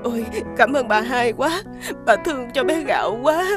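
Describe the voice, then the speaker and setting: A woman's voice: fairly high pitch, animated, normal speed, music in the background, close to an everyday voice. A woman playing a distressed, weary mother fallen from riches in a fairy-tale cartoon, plaintive. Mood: worried, tender.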